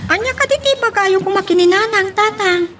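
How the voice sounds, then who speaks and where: A woman's voice: very high-pitched, a little fast, a bit bright, a little hiss or hum. A woman with an Ilocano Filipino accent playing a young, dutiful, soothing student daughter in an audio drama. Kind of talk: Ilocano radio-style drama acting.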